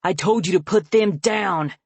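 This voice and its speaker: A woman's voice: medium pitch, moderate pace, no room sound, voice pushed up, close to an everyday voice. A woman voicing a furious, abusive middle-aged father in a story reading, speaking in a snarling, menacing way. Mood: menacing, angry.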